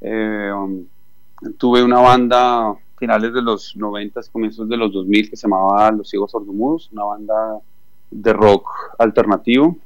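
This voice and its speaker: A male musician from Chile with a Colombian accent, talking through an interview over the line with many thoughtful "eh" pauses. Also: fairly low pitch, steady tone, no echo, highs cut off. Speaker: musician guest. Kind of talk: phone interview.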